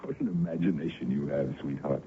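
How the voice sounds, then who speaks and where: An actor playing an older colonel and ardent, worldly suitor in an old-time radio drama, with a courtly, theatrical delivery. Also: male voice, extremely low pitch, fairly quick, creaky. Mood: teasing, courtly. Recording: dull, noisy vintage radio recording.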